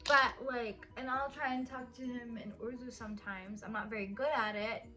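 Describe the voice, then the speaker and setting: A woman's voice: fairly high pitch, fairly even intonation, moderate pace, pushed and bright, faint music behind. A woman in her early twenties chatting casually and teasing her husband in a Q&A vlog, with lots of "like" fillers. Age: 20s. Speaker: vlogger. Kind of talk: casual couple Q&A chat.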